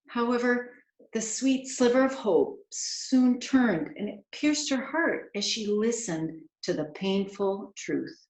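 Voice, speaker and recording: A woman's voice: medium pitch, darkish tone. Echoey woman reading a storybook aloud. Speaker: children's author reading aloud. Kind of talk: expressive picture-book read-aloud.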